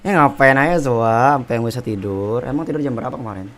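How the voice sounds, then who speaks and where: A man's voice: medium pitch, very fast. A man with an Indonesian accent chatting casually on a gaming livestream, slangy and given to swearing. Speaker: game streamer. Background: game sounds.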